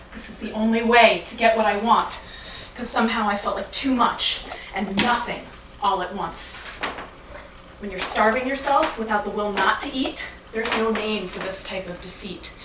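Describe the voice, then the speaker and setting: Female spoken-word performer, theatrical rhyming storyteller, deliberate delivery, roomy sound with clear background noise. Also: medium pitch. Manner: dramatic, deliberate storytelling with singsong rhyme. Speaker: monologue performer. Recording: echoey, noisy room from far off.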